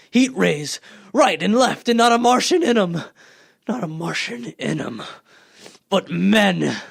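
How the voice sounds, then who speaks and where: Radio play role, male actor: fanatical soldier survivor, husky voice, intense and scheming, recorded dry and close. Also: sits high, no echo, full character voice. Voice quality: husky, gravelly. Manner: intense scheming, folksy slang, swelling from hushed to loud. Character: fierce power-hungry artilleryman survivor plotting to rule the world.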